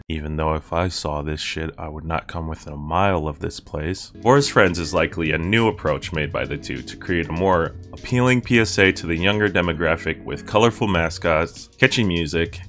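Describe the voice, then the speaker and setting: Young male video essayist, low register, casual scripted read with a joking, irreverent edge. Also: fairly bright tone. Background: music with guitar under the voice.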